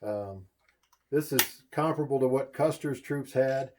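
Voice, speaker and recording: A man's voice: medium pitch, measured pace, mellow. A man who owns the museum, giving an easygoing, knowledgeable guided tour. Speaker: museum owner.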